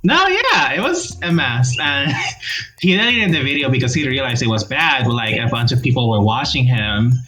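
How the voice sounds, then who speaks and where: A man from Puerto Rico with a Puerto Rican accent, chatting casually as a guest, animated and laughing often, with many "like" fillers. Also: medium pitch, moderate pace, a little hiss or hum, low-quality recording. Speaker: guest YouTuber. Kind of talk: casual video-call conversation.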